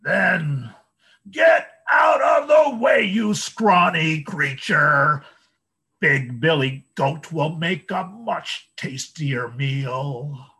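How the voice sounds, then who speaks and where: A man voicing a selfish, greedy male troll in a storybook reading, roaring his threats in a high, shrieking voice. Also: very animated, slow, pushed and bright, some chatter behind, exaggerated voice.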